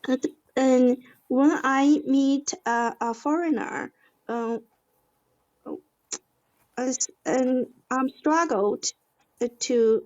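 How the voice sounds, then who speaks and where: A fairly high-voiced woman with a Chinese accent speaks slowly in a lesson. She is an English learner, halting and searching for words. The recording sounds dull and lo-fi. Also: a little mellow. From China. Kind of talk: hesitant conversation in a lesson. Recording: dull, lo-fi audio.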